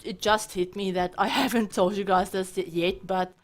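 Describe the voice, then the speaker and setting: A woman hosting a gaming channel, talking casually over her gameplay with a hoarse, raspy voice, chatty and apologetic, full of fillers. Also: medium pitch, steady tone, moderate pace, faint music behind. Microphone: home voice-over mic.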